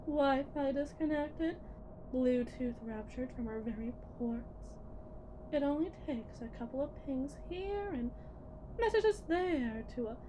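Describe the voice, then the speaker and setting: A woman playing a condescending, menacing lead technician at a shady tech company in a scripted ASMR roleplay, theatrical and patronizing with deliberate pauses. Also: high-pitched, noisy background. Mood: ominous, measured.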